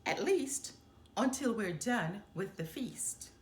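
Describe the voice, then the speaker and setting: Woman voicing a lively, spirited island grandmother. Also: medium pitch, takes it slowly, echoey room. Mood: firm. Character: spirited old island grandmother, decisive and practical.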